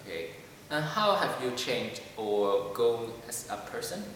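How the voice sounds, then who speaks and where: A man speaking English as a second language, halting and full of fillers as he answers questions. He is heard from a distance in a large room with long, booming reverb and a faint background hum. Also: very animated, fairly bright tone. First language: not English. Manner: hesitant, many fillers and restarts. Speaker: club member. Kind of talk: casual interview. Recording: echoey hall from far off.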